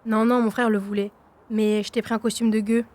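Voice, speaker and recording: A woman's voice: a little high, flat delivery, brisk, darkish tone, lightly acted. An actress playing a pushy teenage girl, the heroine's friend, in an audio drama. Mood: curt. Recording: clear music behind the voice.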